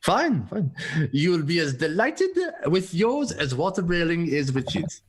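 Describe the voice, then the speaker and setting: A man playing a smooth, secretive seller of illicit androids in a radio play, formal and assuring in manner. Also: on the high side, very animated, unhurried, background noise. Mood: smoothly assuring. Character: smooth, secretive male salesman of illegal duplicate androids.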